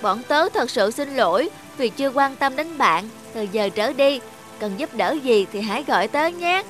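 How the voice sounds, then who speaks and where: A woman voicing a gentle young water creature in a cartoon fairy tale. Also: high voice, expressive, moderate pace, faint music behind. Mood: apologetic. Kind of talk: animated cartoon dialogue.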